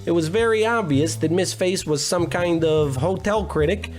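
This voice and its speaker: Guy doing a deadpan noir detective, kinda high voice, blustery, old radio play w music under it. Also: moderate pace, natural voice. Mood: brooding narration. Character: bumbling, self-important hard-boiled private detective, adult man. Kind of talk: comic 1940s radio noir narration and dialogue.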